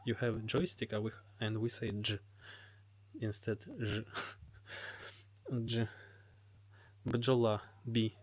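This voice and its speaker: A Ukrainian man with a Ukrainian accent, hosting a live stream and answering chat comments in hesitant English full of fillers. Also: lowish voice. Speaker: livestream host. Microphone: phone.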